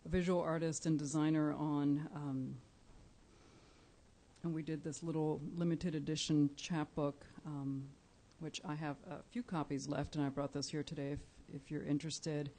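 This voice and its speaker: A woman from Cuba, a Spanish speaker, giving a live poetry reading, measured and deliberate with soft pauses. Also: low-pitched, level tone. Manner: measured, deliberate, pausing often with soft fillers. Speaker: featured poet. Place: indoor live reading event.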